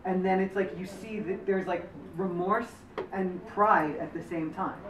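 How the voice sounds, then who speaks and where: A man's voice: sits high, steady tone, a little slow. A man, the memoir author and lead, answering audience questions in a rambling way, full of fillers and restarts. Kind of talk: post-screening Q&A answers. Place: community center screening room. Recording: echoey room from far off with background noise.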